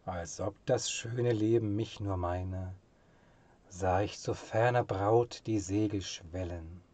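A man reciting literary poetry in a solemn, measured and expressive manner, with phrase endings that drop to a hush. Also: fairly low pitch, no room sound.

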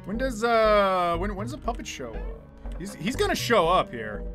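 A man's voice: high voice, big pitch swings, moderate pace, fairly bright tone, faint music behind. A man in his twenties commentating casually on a livestream, joking and swearing as he reads chat, close to a desk microphone in a small room. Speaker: game streamer. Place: small dim room indoors. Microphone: desk microphone close in front.

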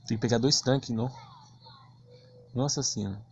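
A man's voice: mid-range voice, fairly quick, a little hiss or hum. A Brazilian man giving casual, slangy commentary on gameplay. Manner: slangy, informal. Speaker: gaming channel host. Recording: muffled lo-fi voice recording.

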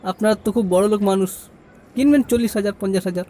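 A man's voice: high voice, fast talker, dark tone, a little hiss or hum, natural voice. A man voicing an exclamatory, showy young nephew in an amateur cartoon. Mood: boastful, teasing. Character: showy young nephew, boastful, later remorseful.

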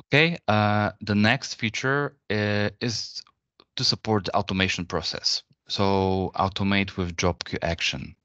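Low-voiced man presenting a software demo. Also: fairly even intonation, no echo. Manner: halting, unscripted, step-by-step explaining. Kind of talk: webinar software demo, explanatory. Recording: dull, lo-fi online webinar audio.

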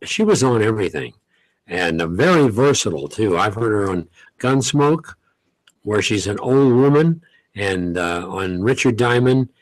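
A man's voice: lowish voice, big pitch swings, measured pace, no echo, rough recording. An older man, a longtime radio host, speaking bluntly and chattily as a studio guest. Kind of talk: interview guest. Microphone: studio microphone with headphones.

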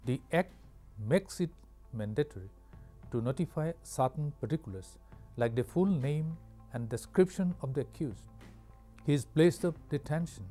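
Mellow-voiced older Indian man lecturing. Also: fairly high pitch, lively intonation, soft background music. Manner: formal, measured, halting phrasing. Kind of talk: scripted educational lecture. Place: studio with curtain backdrop. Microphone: lapel mic.

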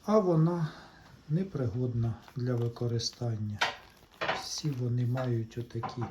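A man's voice: mid-range voice, measured pace, dark tone, slight background noise. A man hosting a casual hands-on electronics vlog, talking in short step-by-step remarks and addressing viewers as friends. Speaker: host of a hobby electronics channel.

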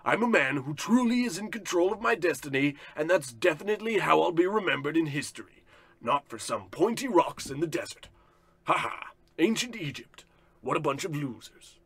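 An actor playing a pompous, cruel, greedy rich boss, bombastic and scornful, in a parody of old radio drama. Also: male voice, on the high side, big pitch swings, normal speed, fairly bright tone, slightly rough, dry recording, full character voice. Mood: smug, bossy.

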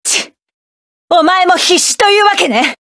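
Proud hardened mech pilot woman, high voice, clipped barks, dry studio sound. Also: expressive, a little slow, dry recording, heavily acted. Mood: battle-ready. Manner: sharp, self-assured, clipped combat barks. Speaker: game character. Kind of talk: game battle voice lines.

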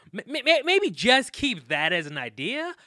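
Man playing a bright-voiced, bashful young student. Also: high voice, huge pitch swings, slow, dry recording, clean studio sound, heavily acted. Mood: flustered. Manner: flustered, stammering. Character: awkward, earnest, bashful young male classics student. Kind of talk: modern audio drama acting.

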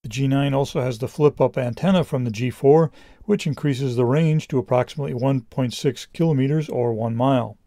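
A middle-aged man reading a scripted product review in a measured, explanatory way. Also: medium pitch, warm tone, dry recording, studio quality.

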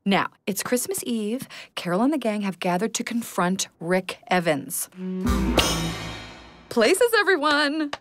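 An actress playing a bossy, scatterbrained cartoon amateur thespian who gushes theatrically. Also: female voice, a little high, sing-song, a little slow. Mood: stagey. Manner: overdramatic, gushing. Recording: music behind the voice.

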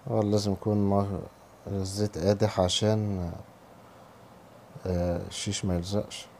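A hoarse, low-voiced man with an Egyptian accent talks through a home recipe in a casual, step-by-step way. He speaks fast with a dark, mellow tone. Faint kitchen noise sits behind him. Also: little pitch movement. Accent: Egyptian Arabic. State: hoarse from a cold. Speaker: home cooking channel host. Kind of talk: cooking tutorial voice-over.

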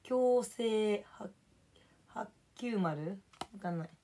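Young female streamer, voice hoarse, casual chatty delivery, reads viewer names out between topics. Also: mid-range voice, extremely slow, dark tone, a little hiss or hum. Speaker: livestreamer. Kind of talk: casual live stream chat, thanking viewers for gifts.

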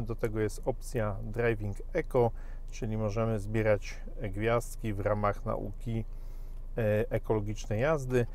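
A man at a car dealership walks round a vehicle and sits inside it, recorded on a camera. He talks off the cuff in a mid-pitched voice, keeping an upbeat sales tone and pausing often on hesitation fillers as he praises the car. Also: darkish tone, a little hiss or hum. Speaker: car dealer presenting a used car. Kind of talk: unscripted car walkaround presentation.

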